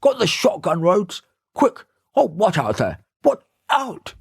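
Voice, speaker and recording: A man reading aloud who voices a bold, burly Norseman shouting urgently. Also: sits high, huge pitch swings, takes it slowly, creaky, breathy edge, no room sound, studio quality, voice pushed up, exaggerated voice.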